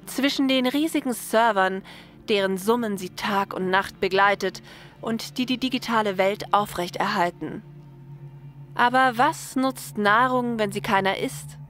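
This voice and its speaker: Woman, story narrator, scripted reading, mid-range pitch, faint background music. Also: fairly bright tone.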